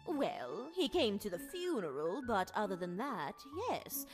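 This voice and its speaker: A woman's voice: mid-range voice, big pitch swings, unhurried. A woman playing a genteel, gossipy society widow in a visual novel, with prim, mannered, chatty phrasing. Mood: wistful, chatty. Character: genteel, gossipy society widow, married more than once. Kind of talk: voiced visual novel dialogue. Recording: music behind the voice. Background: game music.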